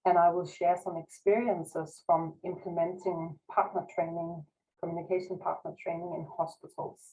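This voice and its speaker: A woman's voice: lowish voice, level tone, normal speed, warm tone, rough recording. A woman from Denmark with a Danish accent giving a modest, careful online lecture with frequent restarts as a university researcher. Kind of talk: online presentation. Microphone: video call.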